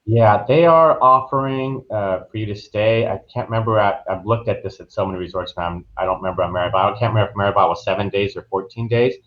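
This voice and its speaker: Man, fairly low pitch, casual interview guest, informal, easygoing, somewhat dull lo-fi sound. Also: fast, hardly any pauses. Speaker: podcast guest, travel agent. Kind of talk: casual conversational recounting.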